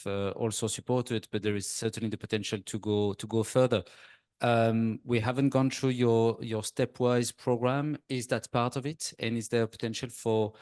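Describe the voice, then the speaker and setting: A man moderating a conference panel, speaking spontaneously in a measured, courteous manner. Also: medium pitch, darkish tone, no echo, clean studio sound.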